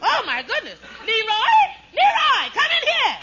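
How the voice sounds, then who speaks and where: A woman's voice: extremely high pitch, huge pitch swings, unhurried, over a noisy crowd, full character voice. A woman playing an easily panicked, bossy visiting mother in a 1940s radio sitcom, fretful and exclaiming in comic dialect. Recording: dull, very noisy old radio recording.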